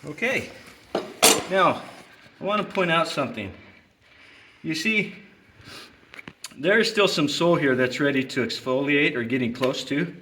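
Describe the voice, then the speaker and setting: A man's voice: a little high, animated, unhurried, pauses often, noisy background. A middle-aged man working as a farrier, patiently explaining a hoof trim while he works bent over a horse's foot in a barn. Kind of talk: hands-on trimming demonstration. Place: roomy barn with concrete floor. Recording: echoey barn.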